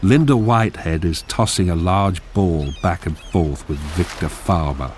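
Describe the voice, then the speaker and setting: A man reading scripted narration for a radio drama in a measured, descriptive manner. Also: low-pitched, darkish tone, a little hiss or hum. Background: music.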